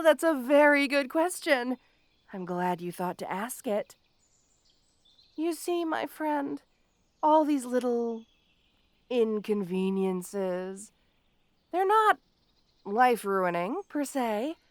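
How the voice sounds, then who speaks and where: A woman's voice: a little high, sing-song, slow, long pauses, no room sound. A woman playing a petty, obsessive supervillain in an audio roleplay, with a taunting, mock-sweet, theatrical delivery. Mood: mocking amusement, then smug gloating.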